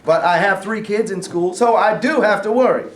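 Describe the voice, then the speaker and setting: Man playing excitable high-voiced alarmist official. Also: moderate pace, lightly acted. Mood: alarmed. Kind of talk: staged play reading. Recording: roomy, echoey live stage recording.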